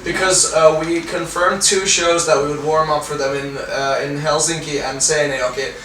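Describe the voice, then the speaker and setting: Young male musician, casual sweary interview chat. Bright delivery, echoey venue, off-mic. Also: on the high side, steady tone, normal speed. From Finland. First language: Finnish. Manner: laid-back slangy, sweary, enthusiastic. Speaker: band singer and guitarist, interviewee. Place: indoors at a music venue after a show. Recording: echoey venue room, faint noise, distant mic.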